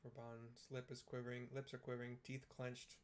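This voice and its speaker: A man's voice: medium pitch, moderate pace, a little hiss or hum. A man narrating game cutscenes in a casual, plain-spoken way. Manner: flat, plain-spoken describing.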